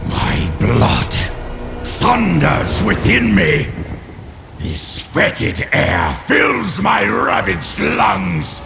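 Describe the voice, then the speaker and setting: An actor playing an ancient, power-hungry male mage in a video game cutscene, with slow, grandiose villain delivery. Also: fairly high pitch, huge pitch swings, full character voice. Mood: ecstatic. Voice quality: gravelly, theatrical. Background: game music.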